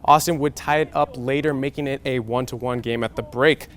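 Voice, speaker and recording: A young American man in his twenties anchoring a scripted TV sportscast with game highlights. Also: steady tone, brisk, fairly bright tone. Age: 20s.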